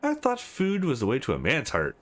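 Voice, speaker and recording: A man's voice: mid-range voice, wildly swooping pitch, a little fast, fairly bright tone, voice pushed up. A man voicing the wry, bantering male hero of a visual novel in a joking, sarcastic manner.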